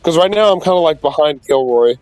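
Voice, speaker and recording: A man's voice: fairly high pitch, expressive, fairly quick, darkish tone, dry recording, low-quality recording. Young man player, hesitant and hedging. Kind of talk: casual tabletop play.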